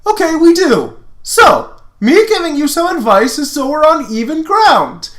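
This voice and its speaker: A performer voicing a cocky, excitable teenage boy space paladin with a loud, dramatic delivery in a fan fiction reading. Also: high voice, unhurried, voice pushed up, exaggerated voice. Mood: insistent.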